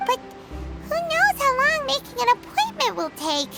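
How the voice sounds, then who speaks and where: Tiny curious fairy sidekick, very high squeaky childlike voice, wildly animated, video game, prominent music. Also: female voice, moderate pace, exaggerated voice. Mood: puzzled. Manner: childlike, refers to self in third person. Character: small, excitable, curious fairy-like female companion.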